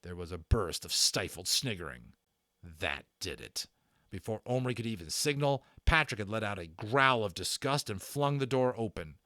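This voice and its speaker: A man's voice: fairly low pitch, lively intonation, normal speed, pushed and bright, dry recording, clean studio sound. A man giving a dramatic, suspenseful storybook narration in a theatrical manner.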